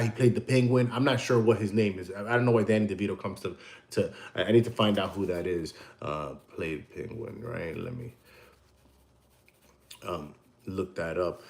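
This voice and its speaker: A man's voice: medium pitch, darkish tone. An American man hosting a casual livestream, telling long, digressive stories and answering the chat. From United States. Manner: rambling, digressive storyteller. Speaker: livestream host; tattoo artist.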